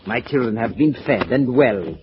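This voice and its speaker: An actor playing a sadistic, megalomaniac scientist villain in a pompous, theatrical 1940s radio thriller style. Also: male voice, medium pitch, expressive, normal speed, noisy background, lightly acted. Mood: cruel, commanding. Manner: pompous, theatrical, relishing menace. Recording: dull lo-fi old-time radio recording.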